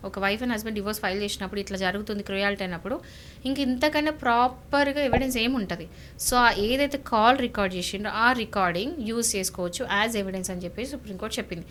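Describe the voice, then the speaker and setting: A woman's voice: medium pitch, quick pace, a bit bright, a little hiss or hum. A woman with a Telangana Telugu accent explaining legal advice conversationally as an expert, emphatic, repeating points and asking rhetorical questions. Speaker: lawyer, guest legal expert.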